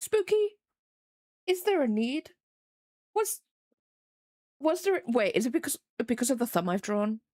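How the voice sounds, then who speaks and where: A woman's voice: fairly high pitch, very animated, a little slow, pauses often, a little mellow, no room sound, clean studio sound. A young English woman with a faint Midlands accent, chatting casually with her live-stream chat while she draws, rambling and self-deprecating. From England.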